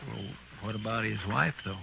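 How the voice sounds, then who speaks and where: An American actor playing an anxious, deferential frontier deputy in a radio western, folksy in manner. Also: male voice, on the low side, moderate pace, creaky. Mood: hushed. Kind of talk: old-time radio western acting. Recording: muffled, noisy old radio recording.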